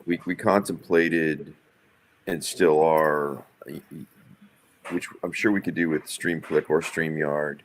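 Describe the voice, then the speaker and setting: Low-voiced man, halting in business talk. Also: fairly even intonation, slight background noise. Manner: hesitant, halting, repeats word starts. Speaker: live event producer planning a show. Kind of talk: casual business meeting talk.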